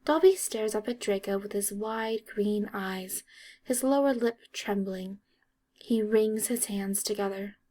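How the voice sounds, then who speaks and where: A woman's voice: medium pitch, a little slow, warm tone. A woman narrating an audiobook, reading scripted fiction as dramatic storytelling.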